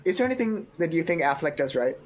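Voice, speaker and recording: Higher-voiced man in casual podcast chat. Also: big pitch swings, fast talker, slight background noise. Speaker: film podcast co-host.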